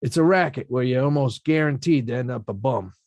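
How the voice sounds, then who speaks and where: A man reading the part of a rough-talking young male boxer at a script table read, slangy and self-mocking. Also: medium pitch, fairly quick, darkish tone, dry recording, studio quality, close to an everyday voice.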